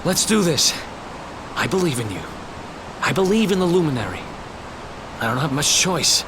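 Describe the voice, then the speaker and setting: A man's voice: on the high side, sing-song, normal speed, noisy background. A young man acting a wry, plucky, roguish fugitive in a video game cutscene. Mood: determined.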